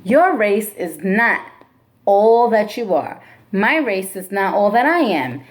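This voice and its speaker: A woman teacher reading a picture book aloud to a class in a slow, expressive storybook manner, then giving classroom instructions. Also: mid-range voice, slight background noise.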